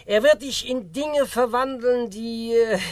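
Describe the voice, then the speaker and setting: An actor playing a doddering, benevolent old wizard who speaks haltingly and giggles, in a comic radio play. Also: male voice, extremely high pitch, dry recording. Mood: giggly, solemn. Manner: halting, keeps breaking into giggles.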